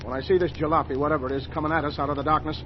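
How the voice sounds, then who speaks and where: An actor playing a cocky, skeptical tough-guy daredevil driver in an old radio mystery, slangy and offhand, with a husky voice. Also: fairly high pitch, steady tone, rapid-fire, slight background noise, close to an everyday voice. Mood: cocky, game. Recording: dull old radio recording.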